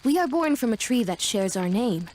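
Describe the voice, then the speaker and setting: A woman's voice: fairly high pitch, sing-song, normal speed, a little mellow, a little hiss or hum. A woman voicing a composed, courteous, centuries-old genderless tree-born being in an anime video game, formal and articulate.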